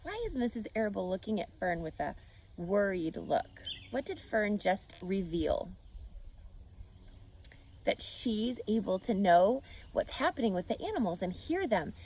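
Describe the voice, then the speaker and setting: A woman's voice: mid-range voice, sing-song, measured pace, darkish tone, husky. A woman narrating a children's book aloud and putting questions to young listeners. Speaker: storybook reader.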